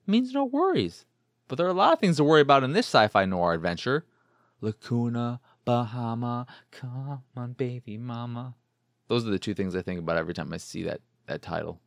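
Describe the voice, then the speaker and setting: A man's voice: medium pitch, no echo, studio quality. A man hosting a podcast, giving a casual, rambling solo video game review and repeating words for emphasis.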